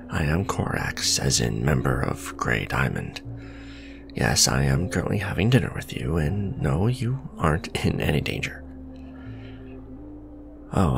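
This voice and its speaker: A man's voice: a bass voice, vocal fry, full character voice. A man playing an awkward, blunt supervillain in a romantic ASMR roleplay, speaking in a hesitant, earnest, conversational way. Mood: frank, businesslike. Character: male supervillain, awkward, blunt, businesslike on a blind date. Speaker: voice actor.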